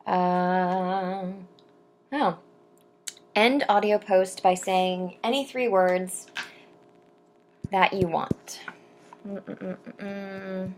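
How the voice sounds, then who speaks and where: A young adult woman chatting to camera in a casual vlog, rambling with frequent ums and asides, and reading poetry slowly and deliberately. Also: medium pitch, fairly even intonation, a little hiss or hum. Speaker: vlogger answering viewer questions.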